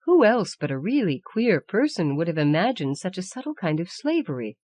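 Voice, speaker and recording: A woman narrates literary fiction for an audiobook. Her voice sits a little low for a woman, and she tells the story in measured, articulate phrases. The sound is dry, with very little room around it. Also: sing-song, a little mellow, no echo. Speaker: audiobook reader.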